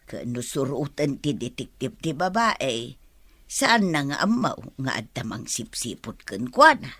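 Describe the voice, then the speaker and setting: Sly, husky young Filipina schemer. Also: female voice, very deep voice, very animated, measured pace, a bit bright, no echo, full character voice. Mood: hushed, calculating. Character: sly young schemer, polite to madam, brazen among friends. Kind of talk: Filipino radio drama acting.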